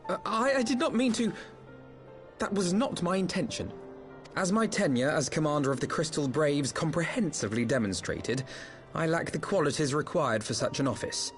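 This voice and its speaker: An actor playing an earnest young noble boy leader in a video game, speaking with formal, measured, eloquent diction. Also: on the high side, expressive, soft background music, close to an everyday voice. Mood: flustered, humble.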